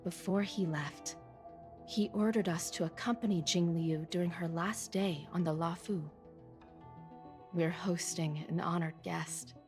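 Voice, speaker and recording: Game role, female actor: dutiful, world-weary officer, matter-of-fact exposition in a lower register, music behind. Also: steady tone, unhurried, a little mellow, close to an everyday voice. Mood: grave, weary. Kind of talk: English video game dialogue.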